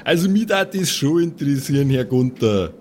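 Man doing a high-voiced earnest schoolboy. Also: expressive, normal speed, lightly acted. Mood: earnest, hesitant. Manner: hesitant, repetitive. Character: well-behaved schoolboy, earnest and naive. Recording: faint background noise.

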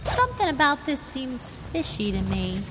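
A woman's voice: high-pitched, huge pitch swings, normal speed, over music. A woman playing a plucky, inquisitive young amateur sleuth with a wry, eager delivery, in old-time radio mystery comedy style. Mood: wry, curious.

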